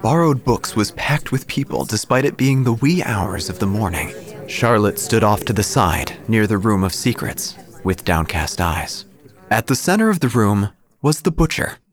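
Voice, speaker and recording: A man's voice: lowish voice, lively intonation, normal speed. A man giving dry, wry first-person narration for an audio drama. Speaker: narrator and obituary writer character.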